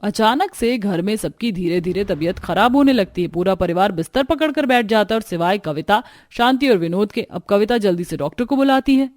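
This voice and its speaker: A woman's voice: mid-range voice, expressive, a little fast, hardly any pauses, darkish tone, no echo, studio quality. A woman narrating a scripted family story as a storyteller.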